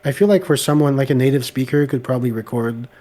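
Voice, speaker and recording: Brief: male, French first language, slightly gravelly, hesitant casual talker, mid-range pitch, laptop mic. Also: fast, dark tone. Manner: hesitant, many "like" fillers. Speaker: guest video creator. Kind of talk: casual podcast chat. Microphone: laptop microphone.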